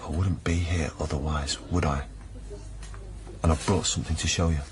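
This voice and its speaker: An actor playing an earnest, concerned partner in naturalistic radio drama dialogue, speaking gently. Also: male voice, a bass voice, fairly even intonation, normal speed, background noise. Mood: defensive, apologetic. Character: earnest, concerned male partner of a troubled woman.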